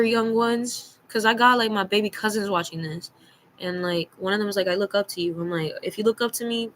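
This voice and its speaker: Bright-voiced young woman telling stories bluntly. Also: mid-range voice, a little hiss or hum, rough recording. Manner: blunt, slangy, emphatic, acts out dialogue. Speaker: storytime vlogger. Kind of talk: casual personal storytelling.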